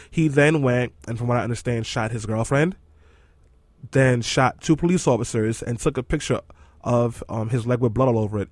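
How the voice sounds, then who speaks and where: Hoarse man hosting a radio show. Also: medium pitch, darkish tone, no room sound. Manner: teasing, then earnest about news. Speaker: radio host and engineer. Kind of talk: casual radio talk show chat. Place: radio studio. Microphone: studio microphone.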